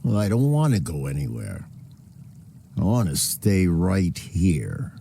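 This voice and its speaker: An actor playing an arrogant, flamboyant upstart gangster boss in a video game, sly and theatrically polite. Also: male voice, deep voice, huge pitch swings, slow, creaky, dark tone, a little hiss or hum, exaggerated voice. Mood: smug, amused. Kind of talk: video game villain dialogue.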